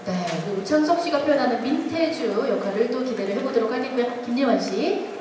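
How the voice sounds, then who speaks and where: A woman's voice: a little high, a little fast, music in the background. A woman hosting a press conference, moderating questions for a panel. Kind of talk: event moderation. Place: large event hall, indoors. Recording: echoey hall from far off, noisy.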